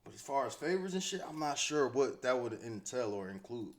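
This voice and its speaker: A man's voice: mid-range voice, sing-song, fairly quick. A man with an American accent giving casual, off-the-cuff commentary as a host, slangy and conversational, often repeating himself. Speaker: host commentating on hip-hop news.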